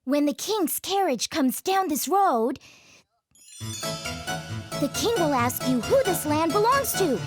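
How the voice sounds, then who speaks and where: A woman's voice: high voice, lively intonation, slow pace, long pauses. A woman voicing a sly, scheming male cat in a cartoon storybook, coaxing and commanding. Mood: persuasive. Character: sly clever tomcat, scheming, bossy male. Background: children's music.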